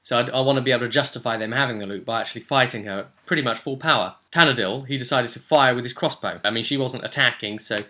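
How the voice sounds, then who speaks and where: A man with a bright, forward voice at a middling pitch hosts a game recap. He tells the session as game master, in an animated and conversational storytelling manner. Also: fast, hardly any pauses.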